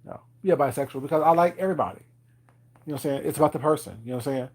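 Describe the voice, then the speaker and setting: A man's voice: fairly high pitch, animated, very fast, slightly rough. A man, chatting casually on a live stream, rambling with lots of fillers and laughing often. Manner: rambling, many fillers and "you know what I'm saying"; laughs often, teasing viewers. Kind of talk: casual chat.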